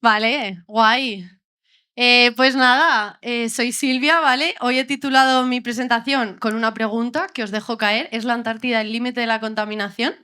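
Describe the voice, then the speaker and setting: A woman's voice: on the high side, steady tone, moderate pace, bright, forceful tone, smooth. A young woman from Ciudad Real with a La Mancha Spanish accent, giving a jokey, informal science talk to a live bar audience and often checking in with "¿vale?". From Spain, Ciudad Real. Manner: chatty, jokey, keeps asking "¿vale?". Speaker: doctoral researcher giving a public talk.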